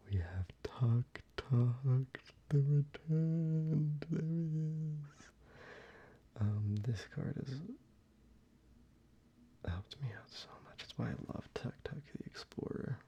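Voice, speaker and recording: A man talking through his card collection in a soft-spoken ASMR video, mostly whispering in a relaxed, casual way with the odd swear word. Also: medium pitch, gravelly. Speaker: ASMR creator. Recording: slightly dull, faint background noise.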